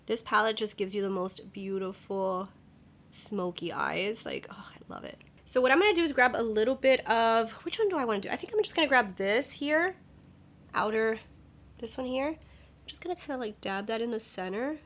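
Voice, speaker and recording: Young woman beauty vlogger, a bit high and slightly nasal, upbeat, chatty. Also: lively intonation, normal speed. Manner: chatty, upbeat, drops to soft whispers while blending. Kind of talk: casual makeup tutorial chat.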